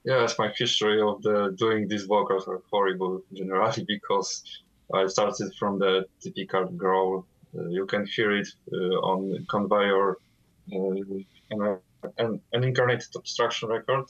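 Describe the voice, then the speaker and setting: A man from Poland with a Polish accent, guest vocalist of a death metal band, speaking halting non-native English with many fillers over a call. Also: low-pitched, flat delivery, fairly bright tone, muffled recording.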